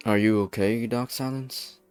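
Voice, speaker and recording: A man playing an earnest, kindly young fellow in an English fan dub, folksy and gentle in manner. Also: fairly low pitch, steady tone, a little slow, clean tone, no room sound, soft background music, natural voice. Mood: apologetic.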